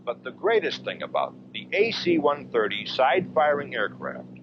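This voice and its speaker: An American man narrates a military training film in a measured, emphatic style. His pitch moves in an animated way over a mid-range voice. The sound is a dull 1970s film track with faint noise behind it. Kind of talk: scripted military documentary narration.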